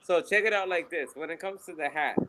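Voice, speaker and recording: A man's voice: on the high side, fairly quick, a crowd in the background, low-quality recording. A man with a Puerto Rican accent, co-hosting a podcast in casual, rambling, profane chat. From Puerto Rico. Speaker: podcast co-host.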